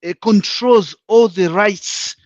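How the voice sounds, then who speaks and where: A man's voice: high voice, takes it slowly, no echo, muffled recording. A man with a Zambian accent, a panel guest speaking frankly and emphatically in a live online discussion, laying out his points one by one.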